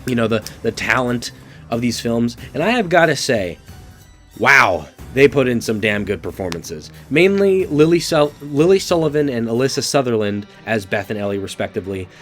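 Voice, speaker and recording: A man's voice: mid-range voice, sing-song, moderate pace, soft background music. An eighteen-year-old man hosting a casual spoken movie review, sounding congested from allergies, loose and profane with lots of fillers and restarts.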